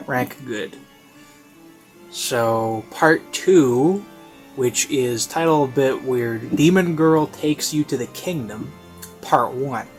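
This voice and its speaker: A man's voice: medium pitch, slow. A man giving a casual, rambling review, hesitant and conversational, often rephrasing himself mid-thought. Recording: classical music playing behind. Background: baroque classical music.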